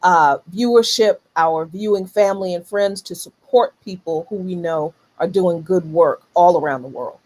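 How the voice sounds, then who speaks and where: Woman host leading an interview; earnest, conversational, a little halting. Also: lowish voice, darkish tone. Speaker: show host.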